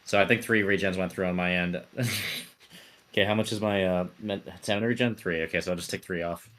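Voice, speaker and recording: A man's voice: sits low, steady tone, fast, fairly bright tone. A man chatting casually as a player in an online tabletop roleplay game.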